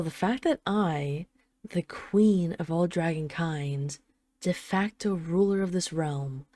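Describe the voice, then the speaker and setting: Woman voicing a dragon queen, dark relaxed tone, close dry sound, haughty, sarcastic, possessive, intimate roleplay. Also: medium pitch, dry recording, close to an everyday voice. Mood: indignant, haughty. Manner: imperious, sarcastic, mock-sweet teasing. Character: haughty, teasing dragon queen, possessive of her human pet husband. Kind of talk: intimate scripted audio roleplay addressed to the listener.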